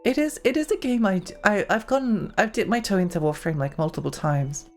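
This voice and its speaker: A young woman streaming a game, chatting in a rambling way with lots of fillers and giggles, her voice getting hoarse. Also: mid-range voice, animated, brisk, soft background music. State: losing her voice. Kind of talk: casual live-stream chat while gaming.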